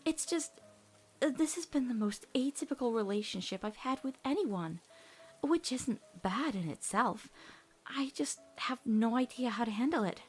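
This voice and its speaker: A woman voicing an earnest, awkward teenage girl in an emotional cartoon comic dub, heartfelt and halting when nervous. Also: fairly high pitch, expressive, natural voice. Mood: flustered, apologetic.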